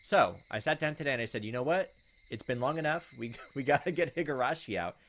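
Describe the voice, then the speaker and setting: A man of middling pitch talks through a game in an easygoing, rambling way, often pausing on ums and likes. A faint hiss sits under his voice, with insects chirping softly behind. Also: lively intonation. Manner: chatty, thinks aloud with frequent ums and likes. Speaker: let's-play host. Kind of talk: casual gameplay commentary.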